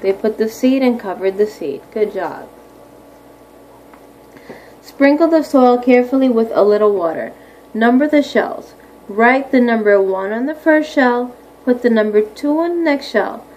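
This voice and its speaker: A woman's voice: on the high side, lively intonation, measured pace, darkish tone, low-quality recording. A woman primary school teacher reading aloud to young children, explaining simply and often asking questions. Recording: clearly audible background noise.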